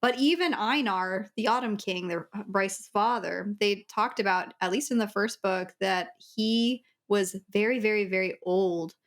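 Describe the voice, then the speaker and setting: A woman's voice: mid-range voice, unhurried, few pauses. A woman co-hosting a casual book discussion in a chatty, loosely strung way, peppered with "like". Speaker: podcast co-host.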